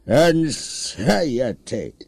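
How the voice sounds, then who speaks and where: A man's voice: mid-range voice, very animated, creaky, darkish tone, breathy edge, dry recording, full character voice. A man with an Ilocano accent playing a lazy, whining, hard-drinking husband who feigns illness in a comedy audio drama. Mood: pleading. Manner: drawn-out complaining, theatrical moaning.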